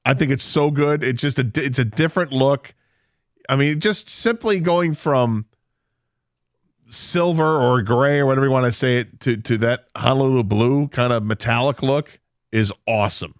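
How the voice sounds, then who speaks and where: A man's voice: mid-range voice, normal speed, no room sound. Sports radio guy, dry close mic, fired up and opinionated. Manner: opinionated, emphatic, laughs easily.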